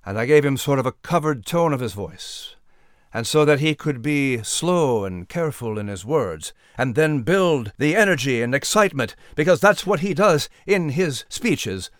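A man's voice: medium pitch, expressive, normal speed, dry recording, clean studio sound. A man speaking as an announcer and voice actor, explaining in a conversational interview style.

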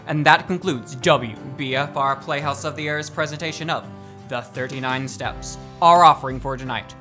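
A man's voice: mid-range voice, lightly acted. Man playing a suave macabre radio mystery host. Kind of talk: old-time radio hosting. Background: music with plucked strings.

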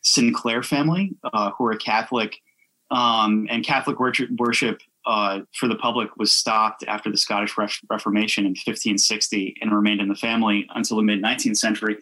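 Halting, bright-voiced man telling stories casually. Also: lowish voice, fairly even intonation, rough recording. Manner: halting, self-interrupting. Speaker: podcast guest. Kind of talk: casual chat, informal storytelling.

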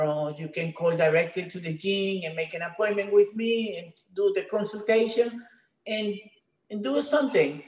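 A woman with a Venezuelan Spanish accent speaks halting, non-native English, often restarting her sentences. She is a gym trainer talking as an interview guest. Her voice rings with the echo of a reflective room. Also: fairly low pitch. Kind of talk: casual interview.

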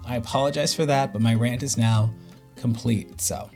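A man's voice: mid-range voice, steady tone, normal speed, a little mellow, soft background music. A man talking casually and conversationally as a podcast host.

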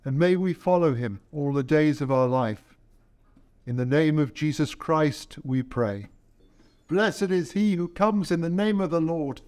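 A man speaking as a priest leading a service, preaching and praying in a measured, solemn way. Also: a little high, darkish tone. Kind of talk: sermon and liturgy.

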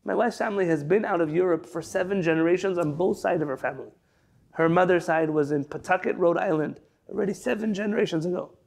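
A man's voice: high-pitched, quick pace, gravelly, a little mellow. A man from San Diego, a rabbi giving a chatty, digressive class over a video call, reading out sources and then explaining them. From San Diego, California. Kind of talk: conversational Torah class.

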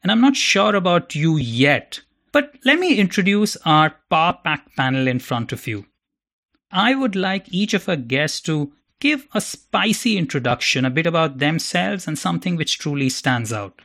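A man's voice: fairly high pitch, big pitch swings, normal speed, sharp tone. A man hosting a podcast who reads his introduction in a theatrical, playful, self-mocking way and then interviews his guests. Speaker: podcast host.

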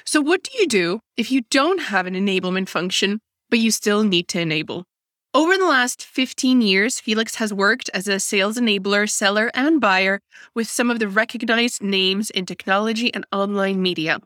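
Bright-voiced female podcast host. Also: medium pitch, animated, moderate pace, dry recording, studio quality. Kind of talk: scripted intro and interview questions.

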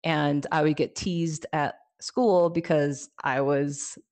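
A woman's voice: low voice, steady tone, takes it slowly, darkish tone. A young adult woman from California, a life coach guest on a podcast, telling her story casually with frequent laughs and fillers. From California, USA. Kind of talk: casual interview conversation.

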